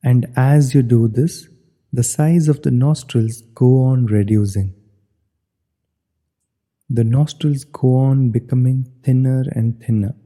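Very dark-voiced, slow man guiding meditation. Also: mid-range voice, fairly even intonation. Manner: slow, measured, instructional. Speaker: meditation instructor. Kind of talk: guided meditation instruction. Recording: long hollow reverb.

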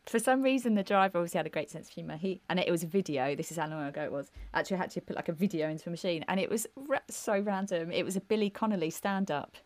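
A woman's voice: fairly low pitch, racing, few pauses, no room sound. A woman, co-hosting a music podcast in casual, laughing chat with frequent restarts. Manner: chatty, laughing, many restarts. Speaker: podcast co-host.

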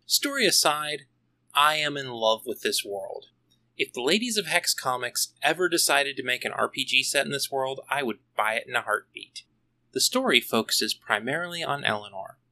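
A man presents a scripted solo review as a podcast host. His voice is bright and punchy, with lively swings in pitch. He drops in wry, tongue-in-cheek asides as he goes. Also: rough recording.

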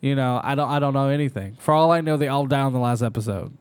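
A man rambles through casual podcast banter, restarting sentences and trailing off. His voice sits at a middle pitch, and he swears easily as he talks. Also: fast, smooth. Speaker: podcast co-host. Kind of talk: casual chat.

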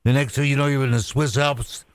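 A man's voice: mid-range voice, steady tone, fairly quick, no echo. A man hosting an interview, throwing in joking asides. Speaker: host. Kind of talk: casual interview.

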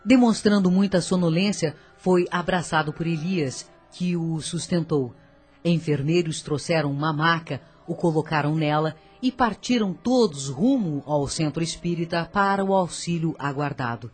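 A woman narrator of a scripted radio drama, husky and dramatic, telling the story with a moralizing turn. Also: deep voice, moderate pace, a little mellow, soft background music.

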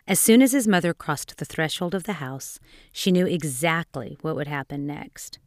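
A woman with a fairly low voice reads a scripted dark fairy tale. Her storytelling is dramatic and expressive. The sound is close and dry, with very little room in it. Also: moderate pace, no echo.

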